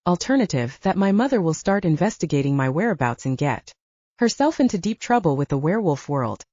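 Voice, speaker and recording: A woman voicing an anxious, affectionate young woman writer in an expressive, wry, exasperated audiobook style. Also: fairly low pitch, a little fast, dry recording, dull audio. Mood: worried, resigned. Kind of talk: audiobook dialogue acting.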